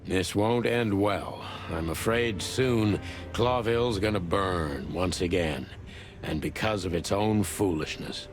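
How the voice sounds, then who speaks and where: A man's voice: low voice, takes it slowly. A man playing a cynical, world-weary old rooster police detective in a noir game, dry and brooding. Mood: grim, cynical. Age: older. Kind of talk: film noir game voice acting. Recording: music behind the voice.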